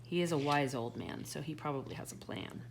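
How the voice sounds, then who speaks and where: A woman reads a picture book aloud for children. Her voice sits slightly low. It is a home recording with noticeable noise. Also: brisk, creaky.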